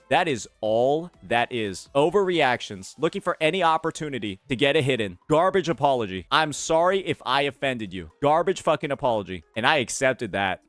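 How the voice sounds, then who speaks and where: A man's voice: mid-range voice, a bit bright, dry recording. A man streaming angry reaction commentary, heated, repeating himself and swearing. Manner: heated, repetitive, profane.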